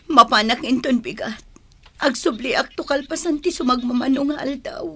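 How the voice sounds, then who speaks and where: A woman's voice: sits high, normal speed, pushed and bright, husky, full character voice. A woman playing a worried rural wife and mother in a radio drama, with an Ilocano Filipino accent.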